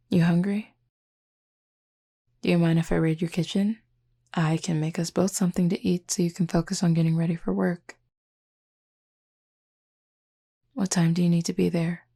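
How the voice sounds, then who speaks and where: A woman's voice: warm tone, heavily acted. A woman playing a flirty, laid-back, protective female werewolf in an intimate audio roleplay, speaking casually and unhurriedly to the listener. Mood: warm, flirty. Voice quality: low, husky, gravelly. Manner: casual, unhurried, teasing, nonchalant.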